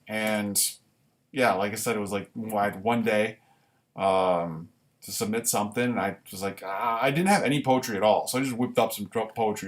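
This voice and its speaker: A man chatting casually as a livestream host, rambling and halting, often correcting himself as he goes. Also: fairly low pitch. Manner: rambling, halting delivery with frequent self-corrections. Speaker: amateur writer.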